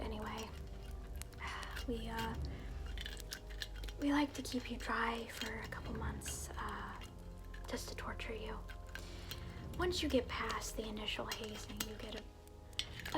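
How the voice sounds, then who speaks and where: A woman's voice: fairly high pitch, close to an everyday voice. A woman whispering in ASMR roleplay as a smug evil alter ego who rules over hell, teasing and gloating at the listener. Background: clear music.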